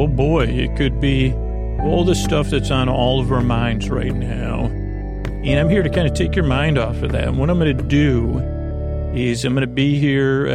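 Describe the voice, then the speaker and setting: Man, heavy vocal fry, halting repetitive sleep-podcast rambling, drawn-out words, music behind. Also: mid-range voice. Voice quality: creaky. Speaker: podcast host.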